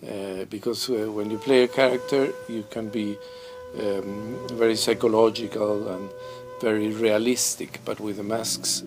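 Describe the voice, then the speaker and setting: A middle-aged man from Peru, answering interview questions in a thoughtful, halting way, with many pauses and restarts, seated in his mask and puppet workshop. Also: lowish voice, flat delivery, music in the background. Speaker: theatre director and mask maker. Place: workshop full of masks and puppets, indoors.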